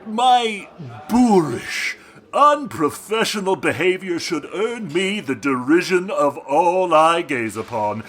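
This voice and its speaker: An actor playing a pompous, theatrical cad who declaims grandly, in a comedy audio drama. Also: male voice, high voice, expressive, takes it slowly, a bit bright, heavily acted.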